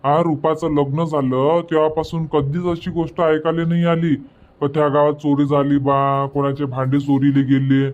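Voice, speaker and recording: A cartoon village father and husband, acted by a man with a Varhadi Marathi accent. His voice sits a little high for a man, and he talks things through conversationally, circling back over his points. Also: little pitch movement, moderate pace, darkish tone, muffled recording, close to an everyday voice. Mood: concerned. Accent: Varhadi Marathi from Vidarbha. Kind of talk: colloquial cartoon dialogue.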